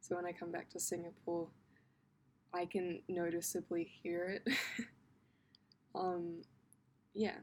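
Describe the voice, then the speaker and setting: A woman's voice: fairly low pitch, level tone, slow pace, pauses often, slight background noise. Chatty young woman with an Australian accent. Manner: chatty, rambling with many ums and likes, laughs often. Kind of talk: casual talk to camera about her accent.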